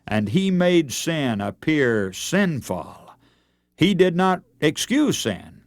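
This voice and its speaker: A man preaching a dramatic radio sermon in an emphatic, oratorical manner, with rhetorical repetition and deliberate pauses. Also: a little high, very animated, no room sound, clean studio sound. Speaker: radio preacher and broadcast host.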